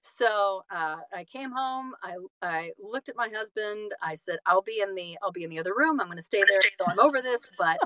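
A woman's voice: medium pitch, animated, sharp tone, no room sound, rough recording. A woman chatting casually as an interview guest, effusive and often stopping to correct herself mid-sentence. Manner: effusive, halting and self-correcting. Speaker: interview guest, singer and audiobook narrator.

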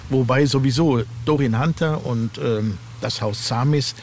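A man's voice: medium pitch, a little slow, a little mellow. A man with a German accent speaking as an interviewed author in casual, thoughtful, hesitant conversation. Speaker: guest author of horror novel series. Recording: clearly noisy background.

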